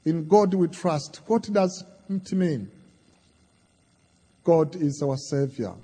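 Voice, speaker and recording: A man from Tanzania whose first language is Kiswahili, preaching a sermon in short, deliberate phrases as a visiting church leader. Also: fairly high pitch, warm tone, slightly rough. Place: large church. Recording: long church reverb.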